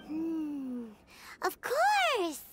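A woman voicing a tiny, excitable, chatty fairy girl in an anime game, squeaky and childlike, with theatrical exclamations. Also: extremely slow, very noisy, exaggerated voice. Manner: exclamatory, theatrical, speaks of self by name.

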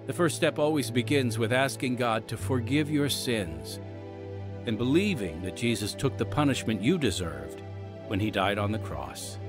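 Earnest male podcast host over music. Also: medium pitch. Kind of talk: scripted ministry announcements. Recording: music bed underneath.

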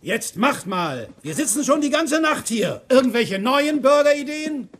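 A man's voice: extremely high pitch, big pitch swings, unhurried, fairly bright tone, exaggerated voice. A man playing an impatient, brusque company boss in a dubbed sitcom. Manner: brusque, bossy. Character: impatient, bossy male burger-company boss. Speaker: actor in a sitcom dub.

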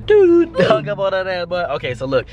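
A man in his twenties talking to camera in casual, animated slang. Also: sits high, fairly quick, highs cut off. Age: 20s. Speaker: prank-call vlogger. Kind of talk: casual video banter to camera. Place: inside a parked car. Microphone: camera at arm's length or on dashboard. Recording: noisy car interior.

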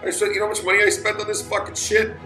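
A man's voice: high voice, level tone, rapid-fire, pushed and bright. A man hosting a livestream, grumbling and ranting crudely with constant cursing. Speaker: livestream host. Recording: roomy echo with faint music.

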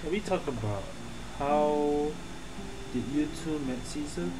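A man's voice: a little high, expressive, slow, noisy background. Casual male game streamer, musing aloud. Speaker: streamer playing a video game. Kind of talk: casual gameplay commentary.

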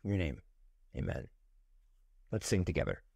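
Forties male pastor at home on webcam; gravelly, dry, gentle devotional read. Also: sits low, big pitch swings, no room sound. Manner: gentle, unhurried, warmly reflective. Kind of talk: prayerful devotional talk. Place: home room with ceiling fan. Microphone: webcam close up, looking up from below.

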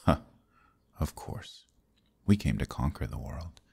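Seductive demon king villain, ASMR roleplay: rock-bottom pitch, breathy, smug and quietly menacing. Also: big pitch swings, exaggerated voice. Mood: cold, reasoning. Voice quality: very deep, gravelly, breathy. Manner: slow, smug, softly threatening. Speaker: voice actor.

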